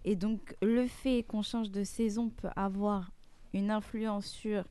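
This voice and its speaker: A woman's voice: medium pitch, steady tone, slow pace, mellow, smooth, no echo. A woman on a talk panel who asks questions to make sure she has understood.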